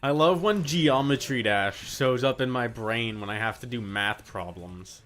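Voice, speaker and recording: A man's voice: medium pitch, measured pace, fairly bright tone, soft background music. A young man chatting casually and jokingly as a co-commentator on a game stream. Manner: playful, jokey, swearing.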